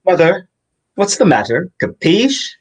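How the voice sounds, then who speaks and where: An actor playing young prince Hamlet as a tough mobster who shouts his lines, on a theater stage. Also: mid-range voice, very animated, drawn-out words, slightly rough, heavily acted. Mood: menacing. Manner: shouting, tags lines with "capiche". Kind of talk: comic stage acting.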